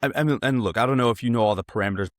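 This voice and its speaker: A man's voice: medium pitch, racing, no echo. A man in his twenties hosting a casual sports interview, talking in a rambling, digressive way. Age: 20s.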